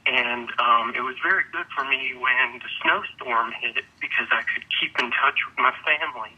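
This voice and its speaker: Male caller, mid-pitched and husky, casual, over a slightly muffled telephone. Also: moderate pace. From Atlanta, United States. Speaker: caller to a phone-in show. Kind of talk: casual call-in remarks. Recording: dull, husky telephone line.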